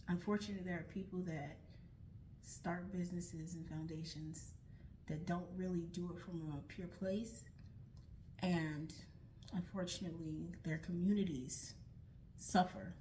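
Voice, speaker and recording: A young woman from the United States talks straight to her viewers in a sincere, thoughtful way, circling back and rephrasing as she goes. Her voice sits a little low for a woman. A faint low rumble runs underneath. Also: warm tone, poor audio. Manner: earnest, reflective, with frequent restarts and "like". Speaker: vlogger starting a nonprofit. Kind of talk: earnest personal talk to camera.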